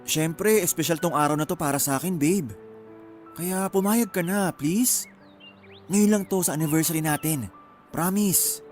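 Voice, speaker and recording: A man's voice: on the high side, a little slow, pauses often, darkish tone, soft background music, close to an everyday voice. A man with a Filipino accent playing a manipulative, hot-tempered young boyfriend in a radio drama. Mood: coaxing.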